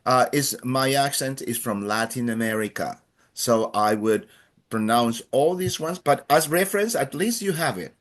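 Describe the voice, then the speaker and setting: A man's voice: medium pitch, moderate pace. A man from Chile with a Chilean accent, a native Spanish speaker, teaching a language class in an encouraging, playful way with many fillers.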